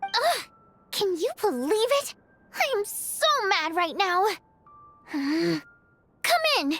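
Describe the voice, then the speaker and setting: An actress playing an excitable, outspoken young woman with a dramatic, chatty delivery in a video game. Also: very high voice, takes it slowly, soft background music, full character voice. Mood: exasperated, loud. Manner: expressive, exclamatory, chatty.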